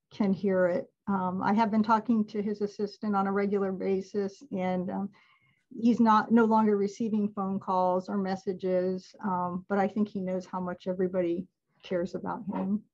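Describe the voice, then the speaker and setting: A woman's voice: medium pitch, darkish tone, highs cut off. A woman giving a casual webinar talk on a video call, chatty and laughing often. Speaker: alumni event presenter.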